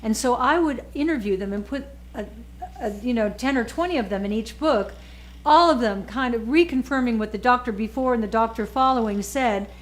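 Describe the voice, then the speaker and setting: Emphatic older woman, fairly high voice. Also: a little fast, poor audio. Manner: emphatic, repeats words, animated. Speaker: author and guest. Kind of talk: casual chat.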